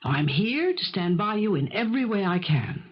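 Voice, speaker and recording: Determined protective woman, middle-pitched, firm, articulate, insistent, 1970s radio mystery, muffled vintage sound. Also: sing-song, normal speed, husky. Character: assertive, determined woman turned protective amateur investigator. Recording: dull vintage radio broadcast recording.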